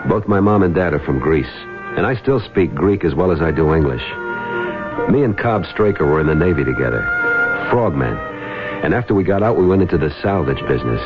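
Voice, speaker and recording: Rugged American ex-frogman, low-pitched, slangy tough guy for a vintage radio play over music. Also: expressive, fairly quick. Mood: matter-of-fact narrating. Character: rugged wisecracking male salvage diver, ex-Navy frogman. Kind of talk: radio drama acting and narration. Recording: dull old radio broadcast, music behind.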